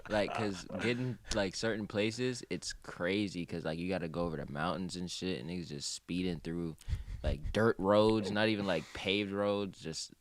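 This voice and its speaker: A young man from Jamaica, a guest rapper, talking casually in an interview and mumbling off quietly at the ends of phrases. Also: sits low, moderate pace. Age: young adult. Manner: laid-back mumbling, trails off quietly.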